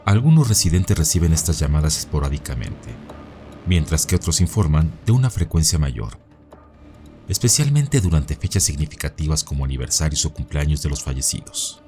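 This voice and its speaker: A man's voice: a bass voice, animated, normal speed, dark tone, faint music behind. A man narrating eerie paranormal mystery stories from a script, as the host.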